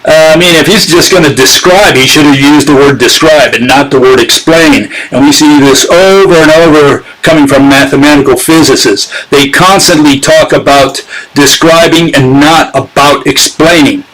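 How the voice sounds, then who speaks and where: A man's voice: fairly high pitch, moderate pace, fairly bright tone, low-quality recording. A man hosting a casual live-streamed talk, sarcastic and chatting with his viewers as he goes. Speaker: channel host giving a critique.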